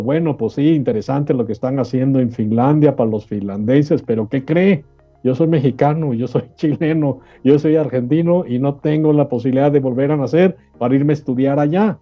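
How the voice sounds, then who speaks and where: A man's voice: mid-range voice, moderate pace. Male panel host, rhetorical questioner, business talk, faint music behind, lo-fi sound. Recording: dull lo-fi audio, music behind.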